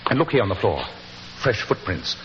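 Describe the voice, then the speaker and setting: British gentleman detective, incisive, very animated delivery, long reverb, muffled old radio recording. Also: lowish voice, normal speed, a little hiss or hum. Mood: intent, reasoning. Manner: precise, confident, deductive. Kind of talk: 1940s radio acting.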